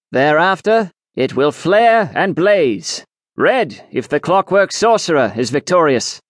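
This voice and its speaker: A man's voice: on the high side, dry recording, clean studio sound, pitched above the performer's own voice. A man doing a stately old alchemist sage. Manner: stately, ceremonial proclamation. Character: pompous male alchemist sage, formal and authoritative. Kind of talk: audiobook character voice.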